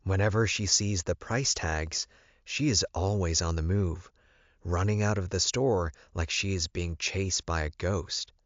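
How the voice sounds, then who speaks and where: A man's voice: deep voice, unhurried, no room sound, lo-fi sound. A man reading first-person fiction aloud as an audiobook narrator, in a scripted storytelling style.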